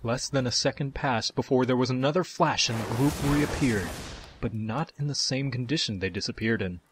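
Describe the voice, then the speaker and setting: A man's voice: medium pitch, moderate pace, background noise, natural voice. A man performing as a genial tale-teller, narrating a scripted fantasy story in a dramatic storytelling style. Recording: music behind the voice. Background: music.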